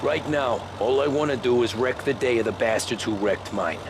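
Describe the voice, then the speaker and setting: An actor playing a cautious young male ex-cab driver, speaking in plain, measured tones. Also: medium pitch, close to an everyday voice. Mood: calm. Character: level-headed young male ex-cabbie, cautious. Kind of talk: video game cutscene acting. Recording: car and street noise.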